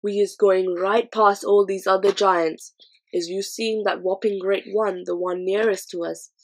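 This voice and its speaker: A woman voicing a gentle, friendly male giant who mangles his grammar and uses odd made-up words. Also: mid-range voice, fairly even intonation, measured pace, low-quality recording.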